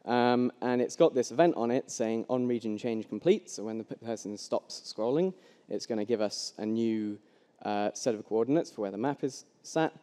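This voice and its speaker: Young man, mellow low voice, casual demo talk, hall reverb. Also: normal speed. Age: young adult. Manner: casual, loose, many ums and restarts. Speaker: conference speaker. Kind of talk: live coding demo talk. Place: large hall with long reverb. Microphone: headset mic. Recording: echoey hall.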